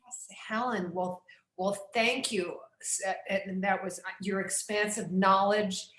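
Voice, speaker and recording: A woman's voice: mid-range voice, fairly even intonation, unhurried, a bit bright, reverberant, poor audio. A woman from New York who is an executive director hosts a Q&A, asking effusive, rambling questions and restarting her sentences often. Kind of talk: casual Q&A questions.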